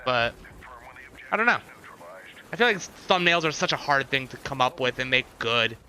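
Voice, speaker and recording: A man's voice: mid-range voice, animated, normal speed, bright, forceful tone, low-quality recording. A man chatting casually to viewers as a livestreamer, giving commentary with sarcastic, unimpressed asides.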